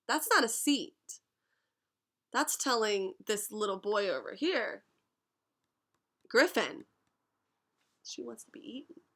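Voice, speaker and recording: A young woman teaching a class, reading a picture book aloud and pausing to explain words and ask questions. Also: a little high, expressive, a bit bright. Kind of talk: read-aloud storytime lesson.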